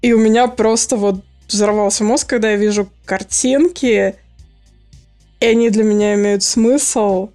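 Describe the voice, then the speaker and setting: A woman's voice: medium pitch, steady tone, a little slow, warm tone, soft background music. A woman guest on a podcast, chatting casually with many fillers and frequent laughs. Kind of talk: casual chat.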